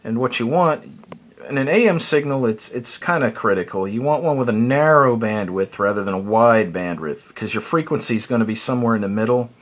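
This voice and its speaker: A man's voice: medium pitch, expressive, brisk. A man giving a casual, unscripted hobby explainer in a folksy, rambling, self-deprecating way. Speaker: hobbyist video presenter.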